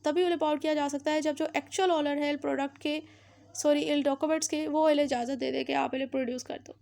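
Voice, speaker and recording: A woman with a Pakistani accent teaches as a law lecturer. Her voice is high and stays on a narrow, even line. She explains step by step, circling back over points and dropping in English terms. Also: fast talker, creaky. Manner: repetitive, uses English legal terms mixed in. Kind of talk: explanatory lecture, recapping legal articles.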